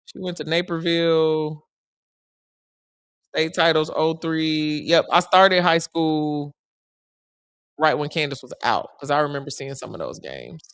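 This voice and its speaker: A woman's voice: expressive, a little slow, pauses often, fairly bright tone, clean tone, no echo, clean studio sound. A woman, chatting bluntly with her live-stream audience. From Chicago. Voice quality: deep voice. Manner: blunt and slangy, talking straight to the chat. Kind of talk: casual live-stream chat with viewers.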